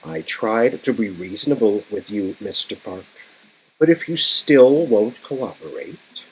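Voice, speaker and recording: A man's voice: fairly low pitch, expressive, unhurried, slightly rough, poor audio. A man voicing a menacing, cold villain boss who can turn snarling and frantic, in a dramatic game dialogue reading. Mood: cold menace.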